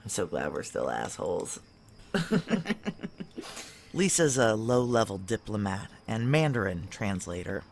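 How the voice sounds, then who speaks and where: A woman's voice: very deep voice, sing-song, slow pace, pauses often, slight background noise, exaggerated voice. An actress playing a brash, foul-mouthed, hard-boiled woman operative, wry and blunt, in a noir audio drama. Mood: tipsy, boisterous.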